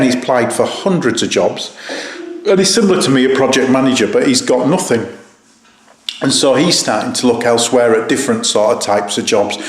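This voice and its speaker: A man in his fifties records a casual video diary in an echoey room. His voice sits at a middling pitch. He talks in a hesitant, rambling, matter-of-fact way, feeling his way from one thought to the next. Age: 50s. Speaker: vlogger.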